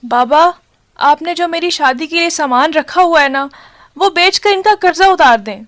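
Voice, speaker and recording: An actress playing a caring, dutiful young daughter in a radio drama, speaking earnestly and gently. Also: female voice, sits high, lively intonation, normal speed.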